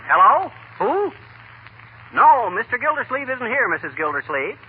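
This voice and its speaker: A blustering, mischievous husband in a vintage radio comedy. His voice is high and swings animatedly, folksy and cocky. It comes through tinny and slightly hissy. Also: very animated, normal speed, breathy edge, heavily acted. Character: blustering, boastful, mischievous husband, stubbornly frank. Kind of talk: 1940s radio comedy acting.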